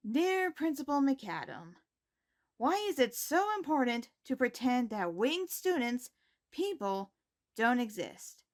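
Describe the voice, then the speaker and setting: A woman playing a poised, caring high school principal, giving scripted announcements in a measured, formal manner. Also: very animated. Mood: earnest. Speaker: host reading school announcements.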